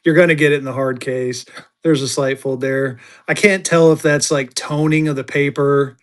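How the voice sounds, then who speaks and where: A man hosting a live-stream auction of paper money, chatty, jokey and excitable. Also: medium pitch, normal speed, smooth. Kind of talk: casual live-stream selling chat.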